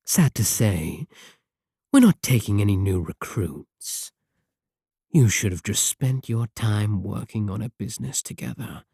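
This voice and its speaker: Man voicing a sardonic evil-organization villain, mellow dark tone, close dry sound, unhurried, condescending, softly menacing, ASMR roleplay. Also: lowish voice, creaky, dry recording, exaggerated voice. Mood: cold, menacing. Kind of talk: scripted ASMR audio roleplay.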